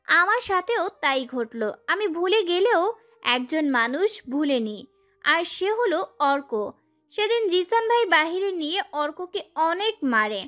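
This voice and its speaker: A woman narrating a dramatic first-person story as a schoolgirl heroine, in an expressive way. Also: extremely high pitch.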